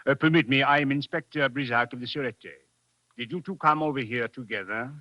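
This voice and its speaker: An actor playing a courteous, methodical French police inspector with a French accent in a 1940s radio drama, speaking formally and deliberately. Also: male voice, mid-range voice, dry recording, lightly acted. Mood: formal, suspicious. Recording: dull vintage radio recording.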